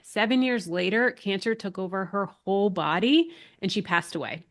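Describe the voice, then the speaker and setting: A woman's voice: mid-range voice, animated, moderate pace. Slightly nasal woman hosting a live class. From Massachusetts. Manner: chatty, upbeat, reads out chat names. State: getting over a cold, a little short of breath. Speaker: online business coach hosting a live class. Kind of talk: casual live webinar talk.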